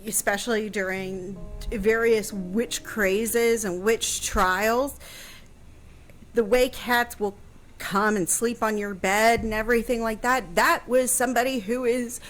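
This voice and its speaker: A woman explaining things conversationally on a radio show, thoughtful and pausing mid-phrase as she goes. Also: fairly high pitch, fairly even intonation, fairly bright tone, soft background music. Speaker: radio guest answering history questions.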